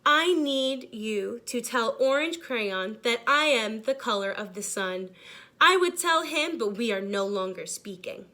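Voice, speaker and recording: Boastful yellow crayon, played by a woman, high voice, insistent, storybook read-aloud. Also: lively intonation, unhurried. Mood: self-important. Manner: self-important, insistent.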